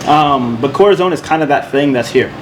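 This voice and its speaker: A man's voice: fairly high pitch, brisk. Easygoing man, noisy backdrop. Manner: relaxed, rambling storytelling with fillers. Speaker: winemaker and co-host. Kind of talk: casual podcast chat. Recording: steady background noise.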